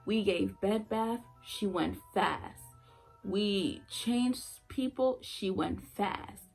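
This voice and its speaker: A woman's voice: mid-range voice, very slow, gravelly, a little mellow, breathy edge, faint music behind, low-quality recording. A young woman, a former nursing assistant, telling her story in a casual vlog, blunt and emphatic, repeating her points for effect.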